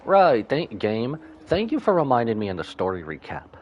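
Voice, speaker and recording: Animated man streaming a game, voice swinging widely. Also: mid-range voice, moderate pace, highs cut off, slight background noise. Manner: animated, rambling, jokey asides. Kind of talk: live gameplay commentary, casual chat.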